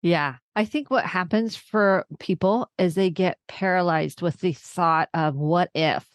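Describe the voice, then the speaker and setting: A woman's voice: on the low side, unhurried, clean tone, no echo. A woman hosting a conversational interview podcast, affirming her guest and repeating phrases for emphasis. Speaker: podcast host, therapist.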